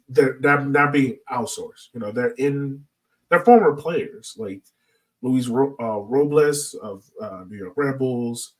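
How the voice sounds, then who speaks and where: A man hosting a podcast in a casual, conversational style, explaining his points and checking in as he goes. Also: medium pitch. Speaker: podcast host. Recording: voice distant from the microphone.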